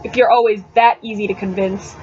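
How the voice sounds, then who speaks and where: A woman's voice: medium pitch, steady tone, a little fast, a little mellow, pitched below the performer's own voice, close to an everyday voice. A woman reading the part of a smug, pushy teenage boy teasing his friend in a storybook, on a webcam recording. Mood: persuasive. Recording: dull, noisy webcam audio.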